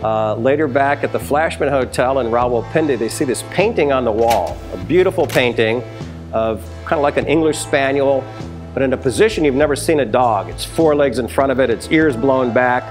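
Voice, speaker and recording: Man, fifties, brewery boss, animated storyteller, average pitch, lapel mic, faint music behind. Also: normal speed. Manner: animated storytelling, hand gestures. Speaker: brewery chief executive. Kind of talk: sit-down interview. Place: brewery taproom, indoors.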